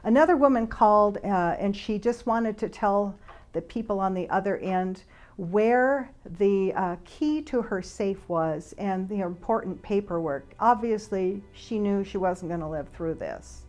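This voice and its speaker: A woman giving an informal explanatory monologue in a conversational, speculative way, halting with pauses. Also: mid-range voice, a little mellow. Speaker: speaker, flight attendant and author.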